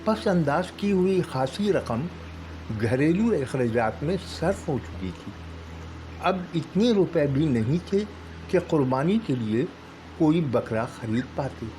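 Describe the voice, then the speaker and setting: A man reading short stories aloud as a storyteller, with measured, deliberate phrasing and pauses. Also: on the high side, animated, warm tone, slight background noise, low-quality recording. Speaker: storyteller and channel host. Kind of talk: dramatic Urdu short story narration. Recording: music bed under the voice. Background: background music.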